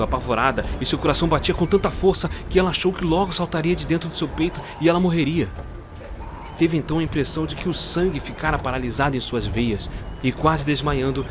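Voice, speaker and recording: A man narrating a dark Christmas fairy tale slowly and dramatically. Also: medium pitch. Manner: slow, dramatic storytelling. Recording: clear music bed under the voice.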